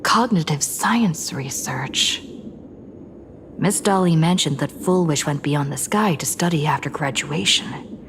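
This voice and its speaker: A woman's voice: low-pitched, a little slow, background noise. An actress playing a cool, observant young woman in a video game, dry and matter-of-fact. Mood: dry, pensive.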